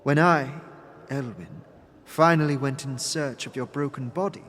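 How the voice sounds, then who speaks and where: A man playing a long-lived, mysterious female being in a fantasy audio drama, speaking in a formal, halting, wistful and guarded way. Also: mid-range voice, a bit bright, a little hiss or hum, lightly acted. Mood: grave, sorrowful. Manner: stately, halting, formal, interjecting own name.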